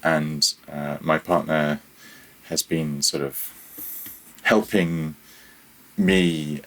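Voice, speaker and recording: A man speaking as a guest in a casual interview, thinking aloud in a halting, self-deprecating way. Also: extremely low pitch, steady tone, a bit bright, rough recording. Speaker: interview guest, freelance audio developer.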